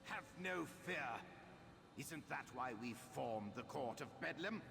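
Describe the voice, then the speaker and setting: An actor playing a sinister, confident cultist leader in a video game, gravelly and grandiose in manner. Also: male voice, medium pitch, lively intonation, measured pace. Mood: grandiose, assured. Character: sinister male cultist leader of a daedric alliance, confident and menacing. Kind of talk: video game villain acting. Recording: long cavernous reverb.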